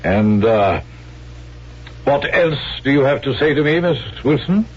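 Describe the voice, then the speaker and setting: A man's voice: mid-range voice, animated, measured pace, dull audio. A man playing a suave swindler with a stagey English accent and courtly manners in a 1970s radio drama. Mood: quietly menacing. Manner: courtly, mannered, smooth-talking. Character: suave male swindler posing as an English business agent. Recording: band-limited vintage radio broadcast with music.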